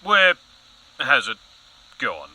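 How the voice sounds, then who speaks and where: Self-important TV anchor in a spoof bulletin: very sharp, swooping delivery, conspiratorial and melodramatic. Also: male voice, medium pitch, wildly swooping pitch, slightly rough, exaggerated voice. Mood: grave, self-important. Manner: pompous, melodramatic, emphatic pauses. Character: overzealous, self-important male news anchor spiralling into conspiracy. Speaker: news anchor. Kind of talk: mock current-affairs broadcast, scripted audio comedy.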